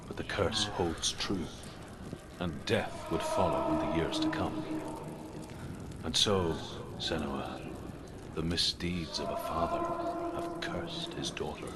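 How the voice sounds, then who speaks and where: An actor playing a grave storyteller of Norse legends in a video game, solemn, measured and dramatic. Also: male voice, low voice, noisy background. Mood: solemn, foreboding.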